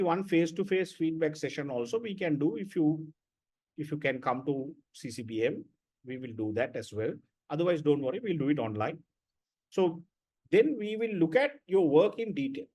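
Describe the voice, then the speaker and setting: A man's voice: sits high, darkish tone. A man lecturing students in an online class, blunt and repetitive, often checking with "right?".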